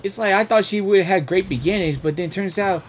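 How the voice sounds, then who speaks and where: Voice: medium pitch, fairly quick, background noise. A gaming host giving casual, off-the-cuff reactions, hesitant and repetitive while musing aloud.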